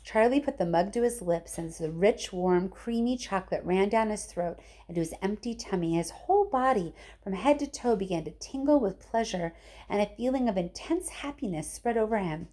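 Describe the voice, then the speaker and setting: A woman reading a children's book aloud in an expressive storytelling style. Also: mid-range voice, moderate pace. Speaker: storybook reader, teacher.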